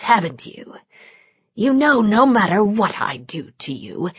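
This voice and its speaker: A woman voicing a cruel, taunting male demon in a hushed, whispery voice, drawing out his words slowly for an audiobook. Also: fairly low pitch, slightly rough, no room sound, exaggerated voice. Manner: slow, drawn-out menace. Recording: dull lo-fi dry audio.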